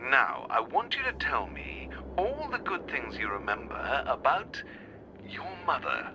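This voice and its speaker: An actor playing a clinical, sinister interrogator scientist in a video game, prim and menacing. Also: male voice, mid-range voice, huge pitch swings, unhurried, noisy background. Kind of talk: video game cutscene acting.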